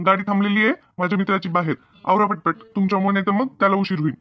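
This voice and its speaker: A man voicing an impatient farm owner in a village cartoon, brisk and matter-of-fact, with a rural Maharashtrian Marathi accent. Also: high-pitched, steady tone, natural voice. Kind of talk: cartoon comedy dialogue. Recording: dull lo-fi, dry close sound.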